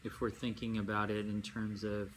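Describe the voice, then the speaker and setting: A man leading a guided meditation as a yoga nidra teacher, speaking in unhurried phrases with long pauses and gentle instruction. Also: lowish voice, completely flat delivery. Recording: amateur recording with faint background noise.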